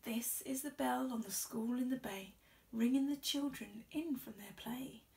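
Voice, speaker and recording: A young woman with a fairly high voice reads a children's rhyming story aloud. She takes her time, placing each phrase with expression. She is recorded a short way from the camera microphone. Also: unhurried, vocal fry, darkish tone, distant mic, slight background noise. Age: young adult. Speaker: storybook reader. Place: small indoor room. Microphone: camera a short distance away.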